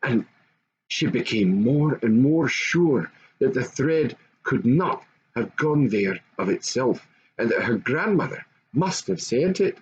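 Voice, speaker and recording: A man's voice: medium pitch, expressive, slow pace, husky. An older man reads a children's story aloud expressively, as an amateur storyteller. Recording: dull lo-fi home recording, faint noise.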